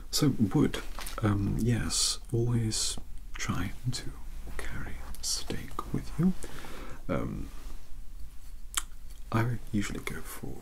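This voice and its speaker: A man voicing a bookish, awkward young British mentor to vampire hunters in a soft-spoken ASMR roleplay, rambling and hesitant in a breathy half-whisper. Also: on the low side, big pitch swings, slow pace, full character voice, poor audio. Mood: earnest, rambling. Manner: hesitant, rambling, politely self-doubting.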